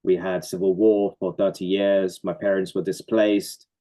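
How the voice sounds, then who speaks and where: A man's voice: low-pitched, level tone, moderate pace, low-quality recording. A man from Norway, a poet and community organizer, talking earnestly and reflectively about his work in an interview. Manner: reflective, earnest, with soft laughs and fillers. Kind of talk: conversational interview answers.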